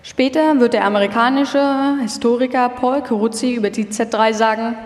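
Higher-voiced female narrator in echoey hall. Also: fairly even intonation, normal speed, darkish tone. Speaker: documentary narrator. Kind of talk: scripted narration, live radio feature. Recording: echoey hall, live stage performance.

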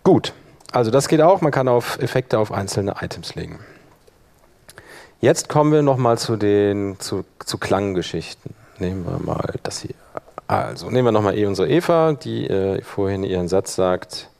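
Guy running a software workshop, casual how-to talk, kinda thinking out loud as he goes. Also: lowish voice, expressive, normal speed, creaky. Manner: colloquial, thinking aloud while demonstrating. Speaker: workshop presenter. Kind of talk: informal software tutorial, demonstrating step by step.

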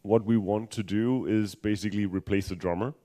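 A deep-voiced Danish man presents at a software conference in accented English. His tone is dark and relaxed as he works through technical points. He pauses often and restarts sentences, with a dry, self-mocking humor. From Denmark. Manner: hesitant, many ums and restarts, dry humor. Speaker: conference speaker.

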